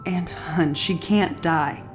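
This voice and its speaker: A woman's voice: sits low, slow, soft background music. An actress playing a distraught, urgent medic devoted to her leader in a sci-fi audio drama, speaking with raw emotion. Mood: grieving, anguished. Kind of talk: full-cast sci-fi audio drama acting.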